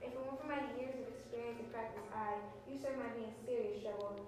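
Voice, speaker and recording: A woman playing a pompous, self-regarding male police inspector with a brisk questioning manner in a radio drama. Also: on the high side, fairly even intonation, close to an everyday voice. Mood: indignant, pompous. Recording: very echoey room from far off, music.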